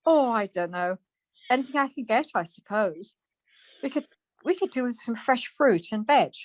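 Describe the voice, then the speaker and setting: A woman playing a brisk, plain-spoken, chatty wife in a radio play, chiding and questioning. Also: fairly high pitch, animated, poor audio.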